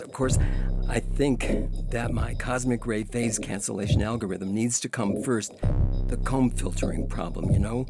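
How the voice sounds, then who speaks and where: A man's voice: mid-range voice, natural voice. An actor playing a weary, frustrated scientist who is a husband and father, in an audio story. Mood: measured, explaining. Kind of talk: dramatic reading of audio fiction. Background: music.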